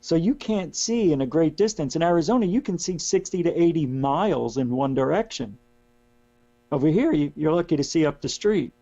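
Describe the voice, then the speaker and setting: Hoarse middle-aged man, gravelly and dark. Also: on the high side, lively intonation, normal speed. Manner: casual, rambling with many restarts. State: hoarse, losing his voice. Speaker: guest. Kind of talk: casual radio chat. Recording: dull lo-fi line with mains hum.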